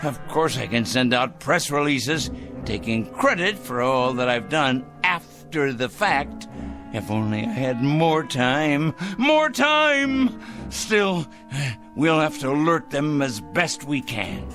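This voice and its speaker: A man's voice: mid-range voice, very animated, slow pace, over music. An actor playing a paranoid, smug middle-aged man in a 1960s TV drama, talking theatrically to his pet bird. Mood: scheming. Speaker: fanatical letter-writing crank.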